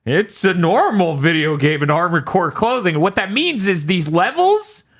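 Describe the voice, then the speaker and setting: A man co-hosting a casual gaming podcast, animated and swearing as he tells stories. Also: high-pitched, moderate pace. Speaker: podcast co-host.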